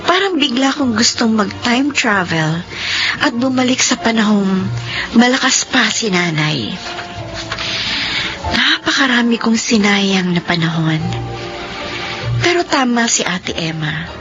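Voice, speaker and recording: An actress playing an earnest grown daughter in a radio drama, speaking and narrating in a heartfelt way. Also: female voice, fairly high pitch, lively intonation, takes it slowly, long pauses, fairly bright tone. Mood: reflective. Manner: heartfelt, earnest, weeping in grief scenes. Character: devoted grown daughter, emotional. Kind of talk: radio drama acting and first-person narration. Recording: dull lo-fi radio with music behind.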